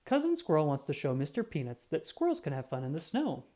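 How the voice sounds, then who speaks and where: A woman reads a children's picture book aloud with a low, mellow voice. Her intonation is lively and animated, shaped simply and expressively for young listeners. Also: fast talker. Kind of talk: scripted children's storybook read-aloud.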